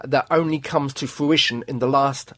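A man's voice: medium pitch, fairly even intonation, normal speed, a bit bright. A man in a casual fan discussion as a podcast host, often repeating and restarting his phrases.

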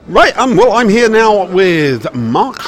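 Lively, jokey podcast host. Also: male voice, sits high, slow, soft background music. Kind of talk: casual interview.

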